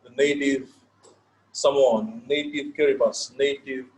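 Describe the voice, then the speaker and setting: A man from Fiji with a Fijian accent, a native Fijian speaker, giving an informal lecture. He pauses often, repeats words and checks in with his listeners. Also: medium pitch, fairly even intonation, darkish tone, poor audio. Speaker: session leader.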